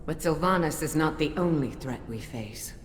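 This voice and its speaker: A woman playing a solemn, wary noblewoman warrior who counsels her king, measured and formal, in a game cutscene. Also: sits low, expressive. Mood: grave, worried. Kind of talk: video game cutscene acting. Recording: echoey hall reverb, faint ambient noise.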